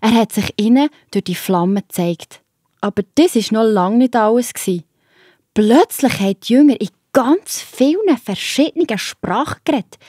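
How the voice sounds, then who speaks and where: A woman's voice: on the high side, expressive, moderate pace, dark tone, breathy edge, no echo, clean studio sound. A woman with a Swiss German accent narrating a children's Bible story in a scripted storytelling style.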